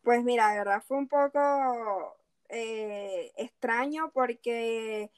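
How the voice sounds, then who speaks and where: A young Venezuelan woman in her twenties whose accent has softened toward Puerto Rican Spanish, chatting casually as a guest with lots of fillers and laughs. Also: on the high side, slow pace, no echo. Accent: Puerto Rican-influenced Venezuelan Spanish. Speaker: athlete guest. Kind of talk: casual interview over a call.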